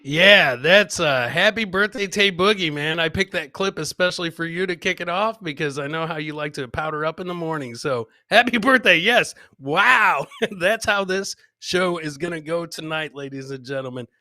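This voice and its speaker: A man's voice: on the high side, moderate pace, hardly any pauses, pushed and bright, dry recording, studio quality. A man hosting a live show, chatting casually and slangily with his viewers and often repeating himself. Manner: slangy, repeats himself, addresses listeners directly. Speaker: podcast host.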